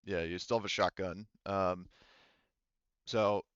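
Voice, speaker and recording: A man's voice: deep voice, steady tone, slow, smooth, no room sound, highs cut off. A man running a tabletop roleplaying game as game master, explaining the situation conversationally.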